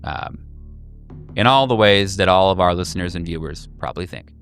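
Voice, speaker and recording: Male game master, lowish voice, improvised horror narration with searching pauses, over background music. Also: fairly bright tone. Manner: halting, searching for words. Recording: music behind. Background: clear background music.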